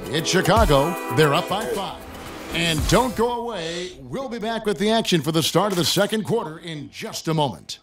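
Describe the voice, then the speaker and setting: A man's voice: high voice, lively intonation, normal speed. A man doing basketball play-by-play commentary as the lead announcer of a sports video game. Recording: loud music behind game audio.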